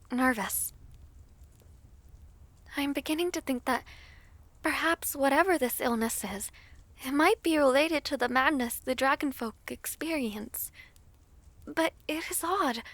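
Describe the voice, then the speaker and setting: A woman playing a gentle, anxious young half-dragon princess in an audio roleplay, speaking softly and formally. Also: high voice, no room sound. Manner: soft, pensive, formal old-fashioned speech. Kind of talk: audio roleplay drama acting.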